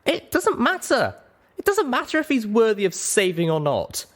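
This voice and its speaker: A man's voice: high-pitched, wildly swooping pitch, moderate pace, voice pushed up, heavily acted. A man voicing a young female pony, an earnest, stubborn and anxious heroine, for a storybook reading. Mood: heated, pleading. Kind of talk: audiobook character voice.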